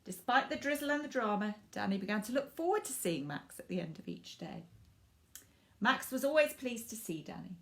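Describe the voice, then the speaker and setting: A woman reading a picture book aloud to children in an expressive way, stressing words for drama and speaking directly to young listeners. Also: mid-range voice, gravelly. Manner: animated, dramatic emphasis with drawn-out words and hushed asides.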